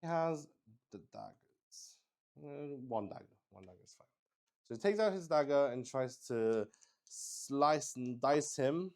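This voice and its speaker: A man casually improvising play-by-play of a solo tabletop game as its host, haltingly thinking aloud and talking to himself. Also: mid-range voice, lively intonation, a little mellow.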